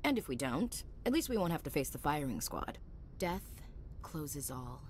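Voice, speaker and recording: A woman's voice: sits low, sing-song, normal speed. A woman playing a tough, devoted young soldier in a video game, wry and intimate. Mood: solemn. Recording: loud noise behind the voice.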